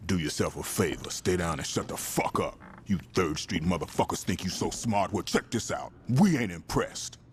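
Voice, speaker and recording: Game gang enforcer: deep animated voice, smug threats, noisy backdrop. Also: male voice, normal speed, hardly any pauses. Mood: menacing, smug. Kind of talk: video game cutscene acting. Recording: clear background noise.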